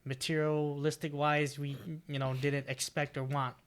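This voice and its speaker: A man in his thirties speaking as a podcast guest in a thoughtful, hesitant way, pausing often with "uh" and "um". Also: a little high, little pitch movement, clean tone. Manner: thoughtful, hesitant, many "uh" and "um" pauses. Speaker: podcast guest, barbecue food truck owner. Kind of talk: casual podcast chat.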